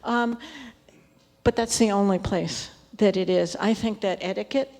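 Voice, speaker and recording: A woman's voice: medium pitch, unhurried, darkish tone. A woman author on a panel, talking informally in a scholarly discussion at an indoor event.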